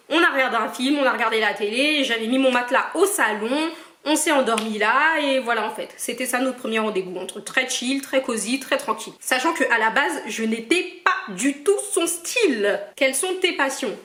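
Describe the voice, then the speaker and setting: A woman's voice: sits high, normal speed, a bit bright. A young woman from Cameroon, chatting casually as she answers her viewers' questions in a playful, teasing way. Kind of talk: casual Q&A vlog. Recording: echoey room.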